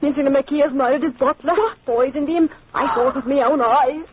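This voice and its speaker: A woman's voice: high voice, fairly even intonation, a little fast, lightly acted. A woman playing a frantic, agitated bearer of scandalous news in old radio drama style. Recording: tinny, noisy old radio recording.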